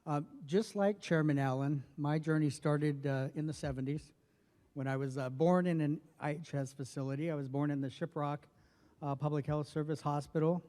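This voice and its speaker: A man in his forties from northwestern New Mexico, a health official speaking softly at a formal event, with many pauses and fillers. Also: on the high side, steady tone. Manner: soft-spoken, many hesitations and fillers. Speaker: federal health official giving a speech. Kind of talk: personal remarks at a formal event. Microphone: podium microphone. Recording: long reverb of a large hall.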